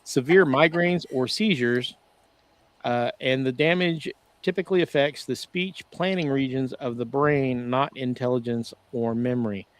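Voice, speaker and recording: A man's voice: medium pitch, no echo. A man in the middle of his range co-hosting a podcast from home over a video call. He rambles and restarts his sentences often. The sound is dry and close, with no room around it. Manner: rambling, halting, frequent "uh" restarts. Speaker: podcast co-host. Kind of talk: casual podcast discussion.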